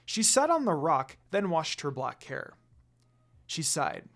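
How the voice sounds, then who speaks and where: Fan fiction reader, medium pitch, very lively intonation, dramatic story narration. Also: takes it slowly.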